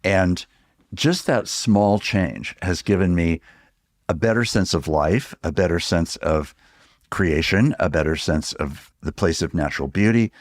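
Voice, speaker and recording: An American man hosting a reflective interview, speaking in deliberate, measured phrases with pauses. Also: low voice. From Pacific Northwest, United States. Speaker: podcast host and interviewer.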